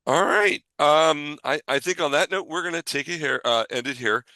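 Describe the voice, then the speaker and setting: A man hosts a casual podcast in a bright, forceful voice. The sound is close and dry. He talks in a reassuring, informal way and tends to repeat himself to make a point. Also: fairly high pitch, moderate pace, dry recording. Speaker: podcast host. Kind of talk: casual podcast chat and sign-off.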